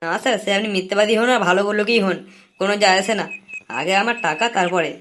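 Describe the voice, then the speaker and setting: A man's voice: high voice, fast, fairly bright tone. A man voicing a cagey, money-minded young informer in a comic cartoon, wheedling and chatty. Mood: eager, bargaining.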